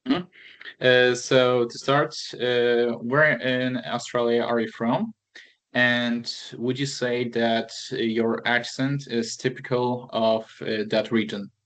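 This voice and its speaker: Young student, very slow and flat delivery, hesitant. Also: male voice, mid-range voice. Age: young adult. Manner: hesitant, full of uh fillers. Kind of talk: interview questions and answers.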